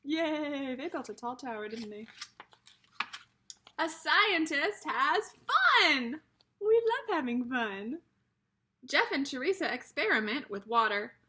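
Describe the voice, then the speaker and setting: A woman's voice: sits high, very animated, slow, sharp tone. A woman teacher reading a picture book aloud to young children, explaining things simply and speaking to them directly as friends.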